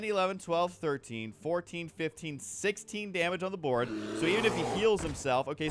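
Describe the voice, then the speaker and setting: Higher-pitched man doing casual game commentary. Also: noisy background. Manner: self-deprecating, rambling, thinking aloud. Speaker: gaming video host.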